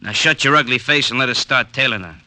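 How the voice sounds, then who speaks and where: An actor playing a pompous, fussy yet menacing gangster who speaks in stilted big words in an old radio drama. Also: male voice, mid-range voice, quick pace, pushed and bright. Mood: scheming. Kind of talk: 1940s radio acting.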